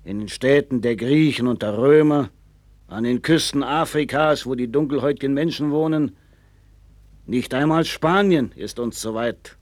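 An actor playing a proud, knowing seafarer in a 1960s German radio play, with a musing storyteller's manner. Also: male voice, mid-range voice, a little mellow, no room sound, close to an everyday voice. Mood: proud, boastful. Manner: slow, musing, storytelling, with swings from boastful to confiding.

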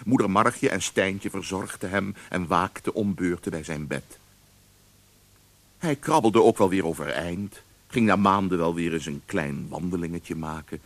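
A man narrating a radio drama as a storyteller, measured and expressive in a scripted literary style. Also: low voice, gravelly.

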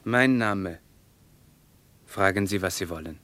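Guarded, teasing young Russian man, low-voiced. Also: slow, a bit bright. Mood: challenging. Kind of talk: German radio play dialogue.

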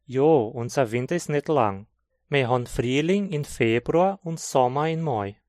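A man from southern Brazil with a Hunsrik German accent, reading a scripted textbook dialogue in a slow, clear teaching manner. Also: mid-range voice, lively intonation, darkish tone, dry recording, studio quality. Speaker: language course reader.

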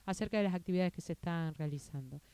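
Radio host, woman, Argentine Spanish accent, low smooth voice, halting casual delivery. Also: dark tone, no echo. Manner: hesitant, many fillers and restarts. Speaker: radio news show host. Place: radio studio.

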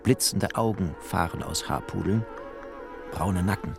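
Low, creaky bookish male narrator. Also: music in the background. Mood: tender, poetic. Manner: poetic, deliberate phrasing. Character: bookish male narrator, tender lover and wry observer. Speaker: first-person narrator. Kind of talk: literary radio drama narration.

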